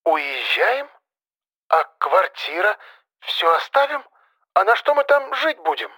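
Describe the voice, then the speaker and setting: A man's voice: high-pitched, big pitch swings, slow pace, sharp tone, pitched above the performer's own voice, full character voice. A man voicing an anxious, nagging elderly mother in an audiobook story, plaintive and lecturing, often sighing. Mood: scolding, alarmed.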